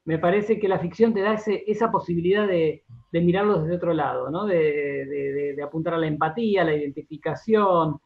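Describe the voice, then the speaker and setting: An Argentine man with a high voice answers as an interview guest. He explains his thoughts in repeated fragments and often ends them with a short tag question. The sound is somewhat dull and lo-fi. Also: brisk, few pauses. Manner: repeats words, checks in with "¿no?" and "¿sí?". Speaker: radio drama director, interview guest.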